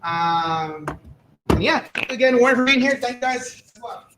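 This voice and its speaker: Upbeat high-voiced man emceeing. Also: expressive, normal speed, roomy sound, background noise. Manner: upbeat, filler-heavy, chatty. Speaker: event host. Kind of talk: casual event emceeing. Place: conference stage, echoey room.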